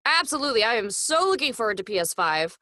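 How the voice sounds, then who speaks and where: Gamer girl podcast guest, bright punchy voice, playful and exclamatory, dry close sound. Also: on the high side, sing-song, fast, no room sound. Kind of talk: casual chat about video games.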